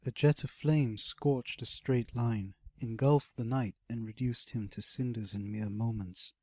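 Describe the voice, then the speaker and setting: A man narrating a scripted fantasy audiobook as a dramatic, expressive storyteller. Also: mid-range voice, measured pace, dry recording, clean studio sound.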